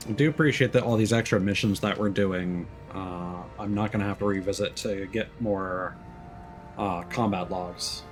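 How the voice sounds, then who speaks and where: A man's voice: sits low, normal speed. A man doing casual gameplay commentary as a streamer, thinking aloud as he plays. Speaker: live streamer playing a game. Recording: game music clearly behind. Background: video game music.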